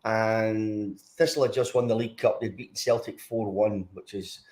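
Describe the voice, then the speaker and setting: A man's voice: on the low side, fairly even intonation, normal speed, a little mellow. A man in his sixties with a Glaswegian accent, a former footballer talking as a guest over a video call, hesitant with many fillers and restarts. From Scotland. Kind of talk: casual interview.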